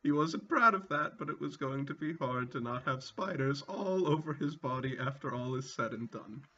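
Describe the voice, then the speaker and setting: A man's voice: medium pitch, animated, normal speed, bright, forceful tone. A man giving a comedic, mock-dramatic reading with casual sweary asides, amused and prone to breaking into laughter. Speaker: reader. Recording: dull lo-fi sound, music behind. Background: music.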